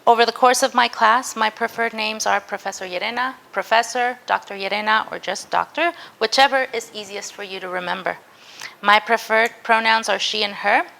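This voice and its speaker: Bright-voiced woman teaching a workshop. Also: on the high side, steady tone, moderate pace, gravelly, low-quality recording. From Mexico. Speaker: university professor. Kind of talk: interactive workshop presentation.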